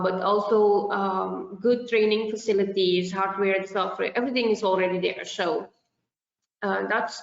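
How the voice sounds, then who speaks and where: Woman, native Dutch speaker, mid-pitched, echoey room, informal meeting answers. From Suriname. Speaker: festival representative answering questions. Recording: echoey room, muffled online-call audio.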